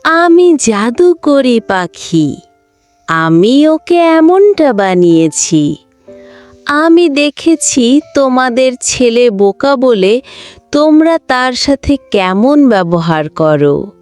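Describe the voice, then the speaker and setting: A woman's voice: high-pitched, very animated, darkish tone, no room sound, faint music behind, voice pushed up, exaggerated voice. A woman voicing a magical bird that solemnly admonishes parents in a moral cartoon. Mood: stern, admonishing. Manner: slow, admonishing.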